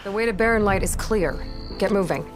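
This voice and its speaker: An actress playing a determined, guarded young warrior woman in a video game, earnest and terse, breathy at phrase ends. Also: medium pitch, a little fast, music in the background, close to an everyday voice. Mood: brisk, firm. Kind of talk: video game dialogue acting.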